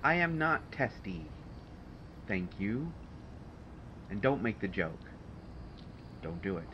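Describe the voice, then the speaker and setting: Slow, testy supervillain genius played by a man. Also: on the low side, very animated. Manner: dry, haughty, hesitant, exasperated. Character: testy, sardonic male supervillain genius, grudgingly grateful. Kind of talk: intimate audio roleplay monologue to a listener. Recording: dull, noisy home recording.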